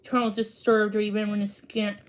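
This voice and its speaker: A woman reading a children's storybook aloud, haltingly, stumbling over words and correcting herself. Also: mid-range voice, level tone. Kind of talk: read-aloud storybook narration.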